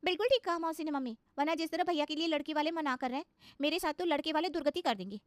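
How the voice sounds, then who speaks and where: A young daughter of the household, voiced by a woman in a cartoon moral tale. Her tone is plaintive and worried, high in pitch and very dry in sound. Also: rapid-fire, no room sound, natural voice. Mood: complaining.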